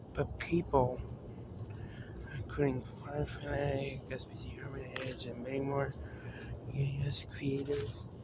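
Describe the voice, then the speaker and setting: A man giving an unscripted vlog-style talk as a video creator, haltingly, often repeating and restarting his phrases. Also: medium pitch, noisy background. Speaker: video creator announcing a decision to fans.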